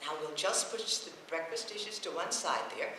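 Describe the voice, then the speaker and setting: A woman's voice: lowish voice, expressive, moderate pace, over loud music, close to an everyday voice. An actress playing a scatterbrained, kindly older landlady in a vintage radio comedy, chatty and dithering as she rambles off track. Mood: chatty, admiring. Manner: dithering, rambling, easily sidetracked. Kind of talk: vintage radio comedy acting before a laughing audience. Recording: echoey old radio stage recording, faint noise.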